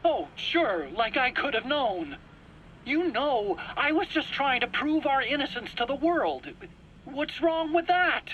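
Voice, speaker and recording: A man's voice: very high-pitched, animated, a little slow, a bit bright, muffled recording, slight background noise, heavily acted. An actor playing an anxious, self-pitying male scientist in a video game cutscene, pleading and stammering indignantly in his own defense. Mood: defensive pleading. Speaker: accused scientist under interrogation.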